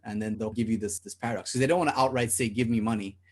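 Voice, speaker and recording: A man's voice: lowish voice, steady tone, rapid-fire, a little mellow. A young man from South Africa, co-hosting a podcast and talking earnestly in long, rambling stretches with many restarts. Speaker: podcast co-host. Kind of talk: casual podcast discussion.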